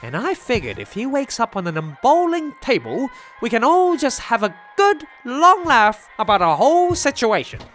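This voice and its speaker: Wry, sardonic undertaker with lively pitch, acted out. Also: normal speed, hardly any pauses, soft background music. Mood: wry amusement. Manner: wry, drawling, darkly joking. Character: wry, sardonic cat undertaker moonlighting as a bootlegger. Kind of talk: audio drama acting.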